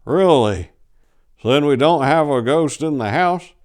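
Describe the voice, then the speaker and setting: A man's voice: fairly high pitch, expressive, a little slow, a little mellow, pitched above the performer's own voice. A man doing a wavering, mild old husband. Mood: mild, agreeable.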